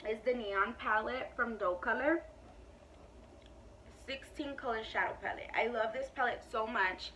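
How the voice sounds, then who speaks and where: A woman's voice: a little high, measured pace, pushed and bright, distant mic, a little hiss or hum, low-quality recording. A woman in her twenties chatting casually to camera in a beauty Q&A, emphatic and full of "like" and "you know.". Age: 20s. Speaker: beauty vlogger.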